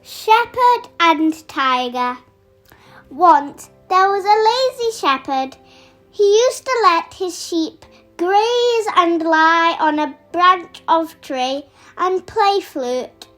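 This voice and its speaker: A very high, childlike voice narrates a children's fable. The reading is slow and deliberate, like a story read aloud, with faint music behind it. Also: animated, a bit bright.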